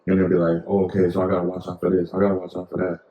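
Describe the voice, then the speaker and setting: A man's voice: deep voice, completely flat delivery, racing, reverberant, lo-fi sound. A young man co-hosting a podcast in casual, rambling chat full of slang and fillers. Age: young adult. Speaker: podcast co-host.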